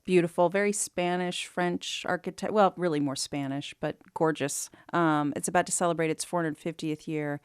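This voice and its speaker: A woman's voice: on the low side, fairly even intonation, moderate pace, hardly any pauses, clean tone, dry recording. A woman hosting a live radio call-in show, interviewing guests in a studio. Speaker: radio talk show host.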